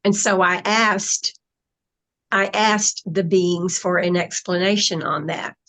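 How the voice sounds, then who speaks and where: A woman's voice: mid-range voice, fairly bright tone, low-quality recording. A woman in casual conversation, explaining her spiritual channeling in a reflective way, with trailing phrases.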